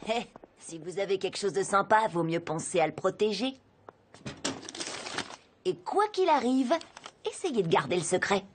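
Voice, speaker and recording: A woman's voice: mid-range voice, lively intonation, normal speed, pauses often, a little hiss or hum, close to an everyday voice. A woman playing a confident, scheming, cheeky schoolboy hero in a cartoon dub, with a breezy, glib delivery. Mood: explaining. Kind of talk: animated cartoon dubbing in French.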